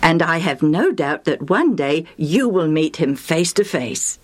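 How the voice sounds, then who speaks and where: A woman voicing a calm, kindly host man who speaks in a measured, courteous way. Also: sits low. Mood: gentle.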